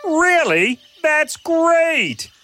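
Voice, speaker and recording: Woman as a bright, bubbly young prince. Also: sits high, big pitch swings, drawn-out words, soft background music, exaggerated voice. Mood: delighted. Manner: bubbly, unsure. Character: cheerful, naive, wavering young prince. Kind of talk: children's bedtime story drama.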